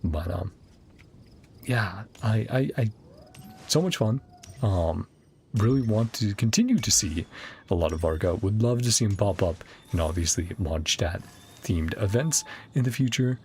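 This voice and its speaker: Man, animated delivery, creaky voice, rambling casual chat, enthusiastic, game streamer, music behind. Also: on the low side, measured pace, slight background noise. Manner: rambling, thinks aloud. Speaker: game streamer reacting to a story quest. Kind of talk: casual chatty reaction commentary.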